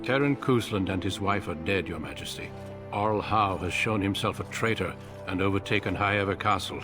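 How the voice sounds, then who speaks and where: An actor playing a grave, seasoned middle-aged warrior commander in a fantasy game, measured, formal and courteous. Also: male voice, low voice, over music, lightly acted. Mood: formal, sober. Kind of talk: fantasy video game acting.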